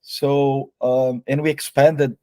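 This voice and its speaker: A young Brazilian man with a Brazilian Portuguese accent, a guest in an interview who hesitates, repeats words and fills pauses, with Portuguese as his first language. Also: medium pitch, level tone, mellow, dry recording. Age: young adult. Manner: hesitant, repeats words and uses many fillers. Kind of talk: casual interview.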